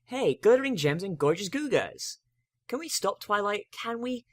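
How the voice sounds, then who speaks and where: A man plays a cheeky baby dragon, a boy sidekick from a cartoon fantasy story. The voice is pitched up high and sounds eager, exclaiming and pleading like an excitable youngster. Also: normal speed, dry recording, studio quality. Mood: excited pleading.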